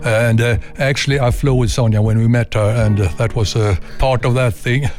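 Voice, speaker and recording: An older Swedish-accented man speaks in a calm, plain way and pauses now and then to find the right English word. He is recorded indoors in a home library at a medium pitch. Faint music plays behind him. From Sweden. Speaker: interviewee, retired army officer. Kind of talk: casual chat at home.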